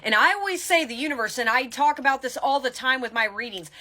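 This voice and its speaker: Woman, spiritual coach type, higher-pitched and sharp, forceful tough-love pep talk straight to viewers. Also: expressive, fast. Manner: blunt, emphatic tough-love delivery, swears casually. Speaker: tarot reader and spiritual coach.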